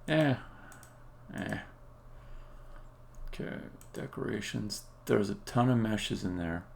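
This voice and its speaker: A man thinking aloud on a live-coding stream, muttering to himself in a halting way and often trailing off. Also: fairly low pitch, expressive, creaky, darkish tone. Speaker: indie game developer streaming. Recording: faint background noise, keyboard clicks. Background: computer keyboard typing and clicking.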